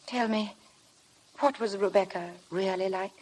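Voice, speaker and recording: An actress playing a meek, nervous young English wife, refined and hesitant, in 1950s radio drama style with a British accent. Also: female voice, mid-range voice, measured pace, a little mellow, lightly acted, rough recording. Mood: timid, curious.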